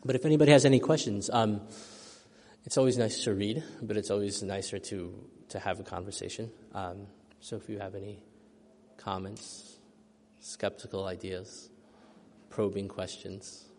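Middle-aged man, author at a reading, low and even voice with lingering hall echo. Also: normal speed, a little hiss or hum. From United States. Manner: thoughtful, soft-spoken with many ums and restarts. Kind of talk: reading then answering audience questions. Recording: long hall reverb.

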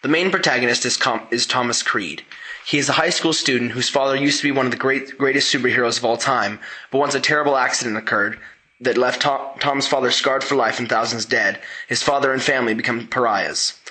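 A man's voice: medium pitch, bright, forceful tone, poor audio. A teenage boy reading a scripted book review for a podcast. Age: teens.

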